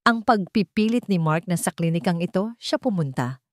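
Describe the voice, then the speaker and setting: A woman's voice: on the low side, animated, moderate pace, dry recording, studio quality. A woman storyteller reading scripted fiction in a dramatic narration style.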